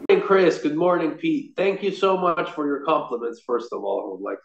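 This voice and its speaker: A man's voice: a little high, very animated, moderate pace, creaky. A man in his thirties from Rome with a light Italian accent, chatting as a real estate guest on a video call, often restarting his sentences. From Rome, Italy. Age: 30s. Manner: chatty, frequent restarts and fillers, gestures with hands. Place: indoor room at a desk. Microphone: laptop camera on video call. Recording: roomy, dull video call audio.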